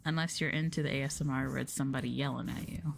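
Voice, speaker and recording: A woman livestreamer talking softly and casually in an ASMR style, close to the microphone. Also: rumbling low, steady tone, fast talker, vocal fry, background noise. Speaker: livestreamer, virtual streamer host. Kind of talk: soft-spoken ASMR livestream chat.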